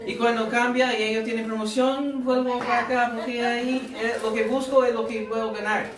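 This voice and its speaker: A man's voice: very high-pitched, flat delivery, normal speed, distant mic, a crowd in the background. American man preaching in a loose, humorous way; echoey room, off-mic. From United States. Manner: humorous, conversational, with asides and rhetorical questions. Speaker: pastor. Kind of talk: sermon.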